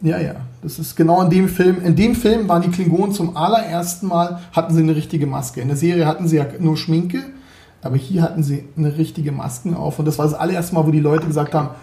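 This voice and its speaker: Hesitant man, gravelly voice. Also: fairly high pitch, fairly even intonation, fast talker, mellow, reverberant. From Berlin, Germany. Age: 40s. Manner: hesitant, many fillers and restarts. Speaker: podcast guest, 3D graphics artist. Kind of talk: casual interview chat.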